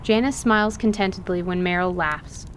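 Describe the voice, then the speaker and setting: A woman's voice: fairly low pitch, steady tone, normal speed, a bit bright, slight background noise. A woman reading scripted story narration for an audio drama.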